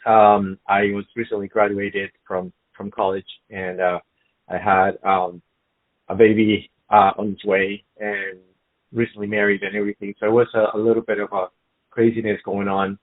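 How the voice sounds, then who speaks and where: A middle-aged man from Colombia, a business guest in a casual interview, speaking haltingly with many fillers and restarts. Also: on the low side, flat delivery. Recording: phone-like narrow sound.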